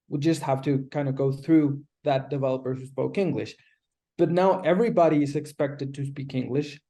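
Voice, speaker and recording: Mellow-voiced Colombian man. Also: on the high side. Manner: measured, explanatory, frequent restarts. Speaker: guest. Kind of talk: conversational interview answers.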